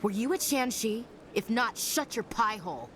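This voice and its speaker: Curt, scornful tough soldier. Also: female voice, on the high side, animated, moderate pace, fairly bright tone. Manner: curt, sardonic. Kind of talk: video game dialogue acting. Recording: clear ambient noise behind.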